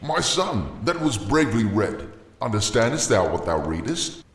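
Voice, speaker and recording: Guy playing an earnest old-time missionary priest, low voice, solemn speeches, roomy echo. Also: big pitch swings. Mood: gentle, probing. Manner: solemn, archaic, measured oratory. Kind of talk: audio drama acting. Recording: roomy echo, music behind.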